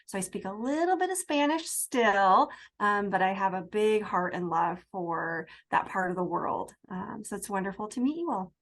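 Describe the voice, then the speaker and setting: A woman from Tacoma, an international student advisor, speaking on a Q&A panel in a warm, chatty and enthusiastic way. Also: medium pitch, expressive, normal speed, hardly any pauses. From Tacoma, USA.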